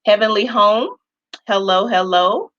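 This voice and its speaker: Interview host: woman, bright and forceful voice, affirming, heard on a dull lo-fi recording. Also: mid-range voice, a little slow. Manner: warm-hearted, affirming, many "mm" and "wow". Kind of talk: casual interview. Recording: dull lo-fi sound.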